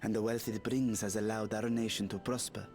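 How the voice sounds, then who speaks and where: A man voicing a serene dragon ruler in humanlike form for a video game, speaking in a formal, measured and courtly manner. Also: lowish voice, little pitch movement, darkish tone, natural voice. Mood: courtly, informative. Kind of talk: scripted video game dialogue. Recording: music behind the voice.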